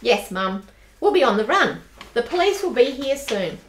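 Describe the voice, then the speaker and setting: A brisk, bossy spinster clerk in her forties, played by a woman in a homemade radio drama. She talks in a matter-of-fact way with a lively, fairly high voice. The room around her sounds echoey. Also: slight background noise. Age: 40s. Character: bold forty-something spinster bank clerk. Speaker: radio play actor. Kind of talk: amateur radio play acting.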